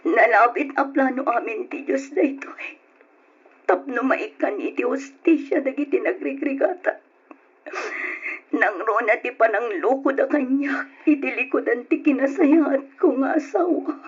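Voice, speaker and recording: A woman's voice: high voice, husky. An actress playing an anguished, betrayed wife and mother who works abroad, in an Ilocano radio drama with an emotional delivery and an Ilocano accent. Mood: pained resolve. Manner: emotional, tearful, drawn-out delivery. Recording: dull, muffled old radio drama recording.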